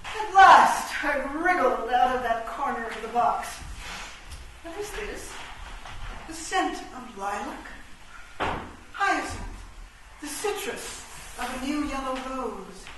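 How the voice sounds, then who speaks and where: A woman's voice: high voice, lively intonation, slow pace, long pauses. A woman playing a grand, imperious, poetic chess queen in a comic radio play, speaking in lofty, florid, dramatic phrases. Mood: rapturous. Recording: echoey room, distant mic, faint noise.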